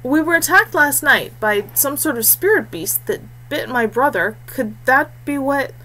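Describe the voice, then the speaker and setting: A woman's voice: high-pitched, expressive, measured pace, bright, forceful tone, pitched above the performer's own voice, exaggerated voice, rough recording. A woman voicing an earnest young male warrior heir, forthright and fiery, in a fantasy audiobook. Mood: indignant.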